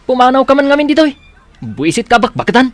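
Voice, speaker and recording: A man's voice: very high voice, very animated, fast talker. A man playing an irritable, haughty boyfriend with an Ilocano accent in a radio drama. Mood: scornful, irritated. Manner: grumbling, scolding. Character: irritable, haughty boyfriend, scornful of beggars and strangers. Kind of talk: Filipino radio drama acting.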